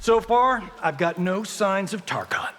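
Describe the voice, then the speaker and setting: A man's voice: sits high, expressive, a little slow, fairly bright tone. A man voicing a cocky, wisecracking fighter in a fighting game. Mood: cocky, teasing. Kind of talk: fighting game character dialogue. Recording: reverberant hall, faint noise.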